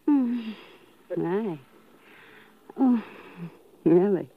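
An actress playing a haughty, scheming femme fatale, arch and mocking, in 1950s radio drama style. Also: female voice, mid-range voice, lively intonation, very slow, heavily acted. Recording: tinny, narrow vintage radio recording.

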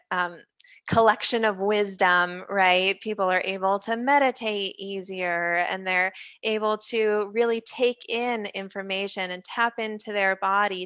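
A woman's voice: mid-range voice, a bit bright, no room sound. A woman guest expert explaining her work with enthusiasm in a casual interview. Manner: enthusiastic, laughs easily, uses fillers like "you know". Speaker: guest brain health expert.

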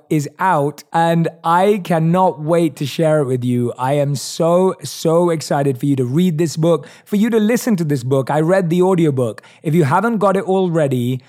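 A man's voice: fairly high pitch, dark tone. A man hosting his own podcast, talking alone to his listeners with enthusiasm and emphatic repeated words. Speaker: podcast host. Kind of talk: solo podcast monologue.

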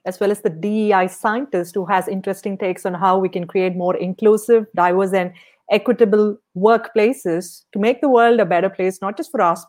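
Host: woman, medium pitch, friendly, encouraging interviewer reading questions. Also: darkish tone. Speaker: podcast host. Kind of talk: podcast interview, asking questions.

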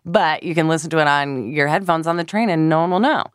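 A woman co-hosting a podcast, telling stories in a chatty, animated way with lots of fillers. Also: low-pitched, quick pace, smooth, dry recording, studio quality. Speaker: podcast co-host. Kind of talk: casual chat.

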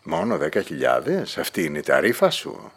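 A man's voice: low voice, wildly swooping pitch, creaky, slightly rough, exaggerated voice. An actor playing a violent, coldly mocking male villain in a Greek radio play, threatening and commanding. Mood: mocking, impatient.

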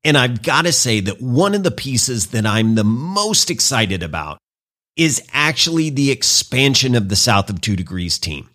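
A man's voice: mid-range voice, very animated, normal speed, sharp tone. A man hosting a podcast, introducing and interviewing a guest in an upbeat, polished presenter manner. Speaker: podcast host.

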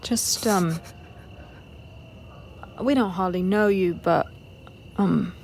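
A folksy woman played by an actress in an audio drama. She speaks slowly and haltingly in a mid-range voice, kind and plain, with faint noise behind. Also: medium pitch, a little mellow. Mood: awkwardly kind. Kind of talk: audio fiction drama acting.

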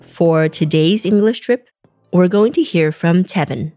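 A woman hosting an English-learning podcast, introducing the episode in a clear, slow teacherly way. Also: on the low side, mellow. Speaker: podcast host. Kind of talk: scripted lesson narration. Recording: dry studio voice with faint music.

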